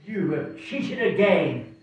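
An arrogant, hot-tempered prince in a live dramatic reading. He declaims and taunts slowly in a very high voice. The sound is very echoey and distant. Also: very animated, exaggerated voice. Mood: sneering, taunting. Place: wood-panelled hall, seated readers at stand mics. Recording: boomy echoing hall, distant muffled mics.

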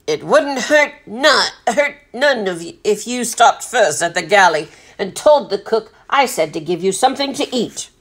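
A woman voicing a gruff, blustering old male sea captain in a storybook, bellowing in salty sailor talk. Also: mid-range voice, expressive, measured pace, hardly any pauses. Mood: gruffly approving.

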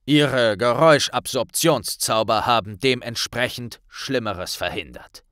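A man voicing a grave, authoritative middle-aged wizard official with a gruff, deliberate delivery in an audiobook. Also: medium pitch, a bit bright, no echo, clean studio sound, lightly acted.

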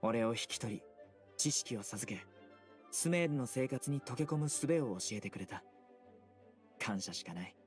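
A man voicing a stern, serious young desert-born enforcer in an anime game, speaking formally and bluntly in a deadpan. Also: mid-range voice, moderate pace, pauses often, natural voice. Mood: solemn. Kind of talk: Japanese anime game voice acting. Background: music.

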